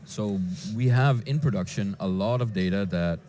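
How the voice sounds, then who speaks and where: A man from Denmark, a database developer answering interview questions in a conference lounge, with frequent halting restarts. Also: on the low side, warm tone, slight background noise. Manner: hesitant, with many restarts and fillers.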